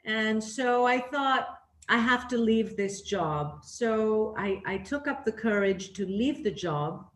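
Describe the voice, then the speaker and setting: A woman's voice: fairly high pitch, animated, slow pace. A middle-aged woman raised in Canada, telling her career story as an interview guest in a candid, reflective way with frequent fillers and restarts. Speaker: interview guest, leadership trainer. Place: living in Greece. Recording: echoey room.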